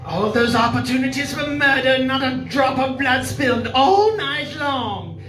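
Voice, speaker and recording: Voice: high voice, animated, music in the background. A performer putting on a ghoulish, punning horror host who closes the show with macabre jokes. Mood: macabre jokey. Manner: macabre jokey, drawn-out delivery. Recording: roomy live room sound.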